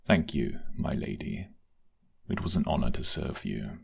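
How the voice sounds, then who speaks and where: A man playing a very deep, gravelly loyal henchman. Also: lively intonation, measured pace, heavily acted. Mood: humble, loyal. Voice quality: gravelly, husky. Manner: formal, dutiful, earnest. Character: ambitious, deferential male guardsman serving a crime boss. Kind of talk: audio drama roleplay acting.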